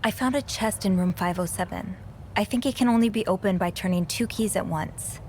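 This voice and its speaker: An actress playing an earnest, curious young amateur sleuth in a video game, speaking in a hesitant, thoughtful, understated way. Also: female voice, medium pitch, a little fast, darkish tone, slight background noise, natural voice. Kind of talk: naturalistic video game acting.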